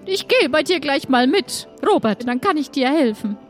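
A woman playing a desperate, coaxing young heroine who pleads haltingly in an improvised audio adventure. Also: sits high, lively intonation, soft background music. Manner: halting, stammering.

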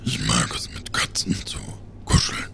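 A man playing a self-proclaimed muscular, dangerous pirate with a soft, melancholy streak in an improvised audio drama, halting and theatrical. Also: in a whisper, lightly acted. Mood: wistful.

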